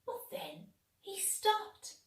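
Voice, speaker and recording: An Irish-accented woman reading a children's storybook aloud expressively, like a teacher to young listeners. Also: drawn-out words. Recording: echoey room, distant microphone.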